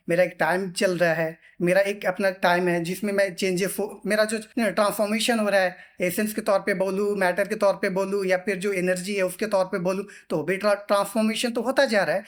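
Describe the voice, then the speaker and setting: A man teaching in an informal, explanatory style, repeating himself and often posing questions that he then answers. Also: sits high.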